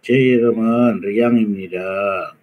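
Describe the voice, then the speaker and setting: Slow, hesitant male language learner. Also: on the low side, steady tone, lo-fi sound, a little hiss or hum. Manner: hesitant, halting, with fillers. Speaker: student. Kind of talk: repeating practice sentences.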